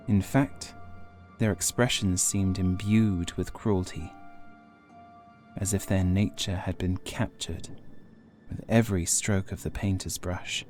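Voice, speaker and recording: A man with a deep, very mellow voice narrates a Gothic horror audiobook. His delivery is measured and formal, with faint music underneath. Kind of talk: scripted Gothic horror narration, first person.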